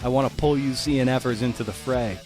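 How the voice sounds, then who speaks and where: A man's voice: mid-range voice, fairly quick, over music. A man hosting a podcast in a casual, chatty way, with informal, slangy wording. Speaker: podcast host.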